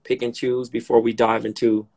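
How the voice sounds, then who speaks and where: A man in his thirties from Compton hosting a casual interview over a phone live stream, relaxed and hesitant, often repeating his words. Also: mid-range voice, normal speed, gravelly. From Compton, California. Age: 30s. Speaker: podcast host. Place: dim room indoors, on a live video call. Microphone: phone camera, split-screen live stream.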